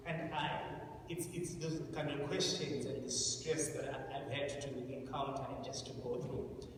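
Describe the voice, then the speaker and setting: A man's voice: a little high, fairly even intonation. Earnest man in an echoey hall. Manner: halting, earnest, many fillers and restarts. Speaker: asylum seeker telling his story at an event. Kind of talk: personal testimony to an audience. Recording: echoey hall, distant mic, noisy.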